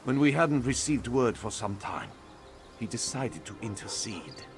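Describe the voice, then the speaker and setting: A man voicing a serious young nobleman in a video game, speaking gravely and thoughtfully over game music. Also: mid-range voice, very animated, unhurried.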